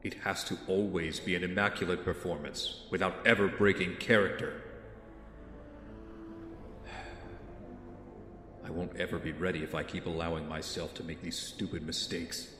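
An actor playing a controlled young rich man in an audio drama, formal and measured. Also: low-pitched, little pitch movement, bright, forceful tone, a little hiss or hum. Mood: brooding, curt.

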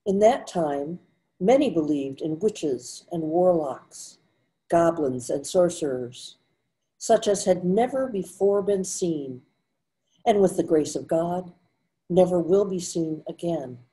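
An older woman narrating a scripted story in a measured read-aloud manner. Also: on the low side, lively intonation, mellow, poor audio. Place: indoors at home, video call.

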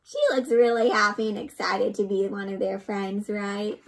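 A young woman reading a storybook aloud to children in an expressive, interactive way, often putting questions to the listener. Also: medium pitch, normal speed, gravelly, over loud music. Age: young adult. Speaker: children's read-aloud host. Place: indoor room.

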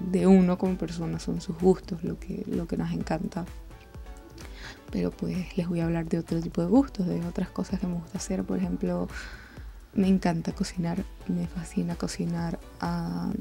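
A young Venezuelan woman talks casually over soft music. Her voice is on the low side and mellow, with a lot of creak, and she rambles along informally in a conversational way. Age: 20s. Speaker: art channel host. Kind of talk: casual chatty voice-over over drawing.

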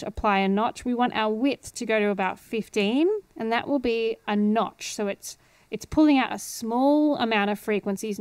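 A woman's voice: medium pitch, a little mellow, clear, resonant tone, no echo. A woman, chattily explaining things in a casual screen-share tutorial. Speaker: audio producer giving a tutorial. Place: indoor home studio. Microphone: headphones and close studio microphone.

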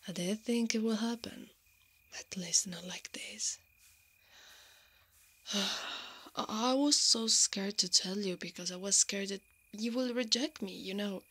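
A woman acts out a strong female knight, protective and teasing, in an intimate ASMR roleplay. Her voice is mid-pitched and husky, often slipping into whispers. She speaks slowly, dominant yet tender. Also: a bit bright. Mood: tender, confessing.

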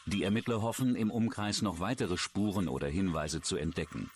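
A man's voice: low-pitched, a little fast, very noisy. A man reading scripted true-crime narration as a documentary narrator.

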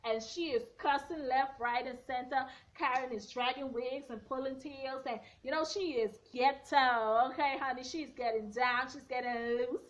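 A young woman in her twenties, slightly hoarse, giving a blunt, sassy recap of a reality show from her bedroom, filmed on a front camera at arm's length. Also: sits high, moderate pace, few pauses. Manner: sassy, blunt, animated hand gestures. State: a bit hoarse. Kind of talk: casual opinionated recap. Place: bedroom at home, indoors. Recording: dull lo-fi webcam audio.

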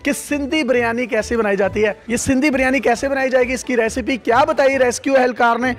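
A man's voice: very high-pitched, steady tone. A man in his thirties with a Pakistani accent, presenting and interviewing playfully as a reporter on a handheld mic. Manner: playful, teasing. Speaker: reporter hosting a segment. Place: emergency call-centre control room. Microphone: handheld reporter microphone. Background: background music.

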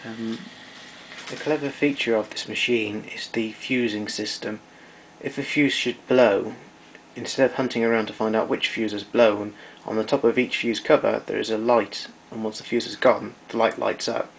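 A man's voice: lowish voice, fairly even intonation, normal speed, creaky, a little hiss or hum, rough recording. A man giving an unscripted technical demonstration in a matter-of-fact, somewhat hesitant way. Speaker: demonstrator of vintage tape recorder.

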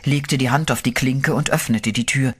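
A man narrating a horror audio drama in a measured, dark storytelling manner. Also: medium pitch, fairly even intonation, husky. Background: music.

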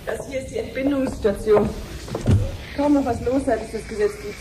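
An actress playing a friendly, patient cancer researcher in a radio drama, explaining things clearly like a teacher. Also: female voice, a little high, moderate pace, background noise, lightly acted. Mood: friendly, casual. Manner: didactic, clearly phrased explaining. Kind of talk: 1970s German radio drama acting.

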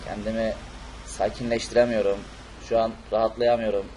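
A man's voice: fairly low pitch, flat delivery, fairly quick, a little mellow, close to an everyday voice. A man voicing an emphatic male cartoon superhero in an amateur role-play over a video game. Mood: raging. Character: angry, unhinged male cartoon superhero on a rampage. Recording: noisy game audio with explosions and vehicles.

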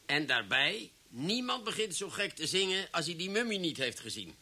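An actor in a Dutch radio drama playing a stubborn, superstitious police superior who blusters and stammers. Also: male voice, a little high, very animated, normal speed, pushed and bright, breathy edge, heavily acted. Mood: blustering, stubborn. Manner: blustery, stammering, repeats words.

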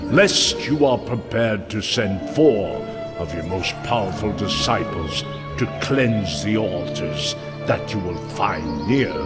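A man's voice: on the low side, animated, slow pace, long reverb tail. An actor playing the mournful, vengeful ghost of a betrayed nobleman in a fantasy game, solemn and theatrical. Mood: grave, urgent. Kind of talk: dramatic fantasy game acting. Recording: loud music behind the voice. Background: dramatic game music.

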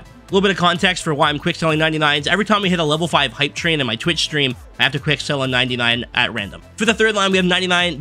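A young man at his desk races through a casual commentary, tumbling from one point to the next with plenty of fillers. His voice is a little high and bright, hoarse because he is losing it. Faint music plays underneath. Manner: fast, rambling card-by-card rundown with fillers. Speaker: gaming YouTuber. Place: small room at a desk.

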